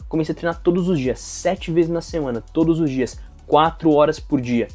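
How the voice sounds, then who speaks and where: Mellow-voiced young Brazilian man venting. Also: a little high, normal speed, faint music behind. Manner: slangy, profane, animated venting. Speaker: aspiring actor vlogger. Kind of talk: casual talk to camera.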